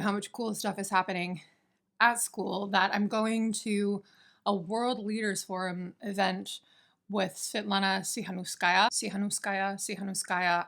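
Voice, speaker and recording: A woman of thirty talking casually to camera in a vlog, chatty and self-deprecating, with quick asides. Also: mid-range voice, creaky, a bit bright. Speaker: vlogger and graduate student. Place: apartment in New York.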